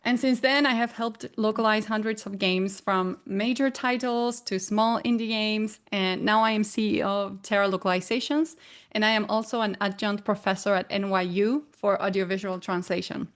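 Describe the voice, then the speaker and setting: A woman in her forties from Argentina, a native Spanish speaker with an Argentine accent, giving a clear, measured presentation. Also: mid-range voice, fairly even intonation. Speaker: guest presenter. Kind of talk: presenting a talk.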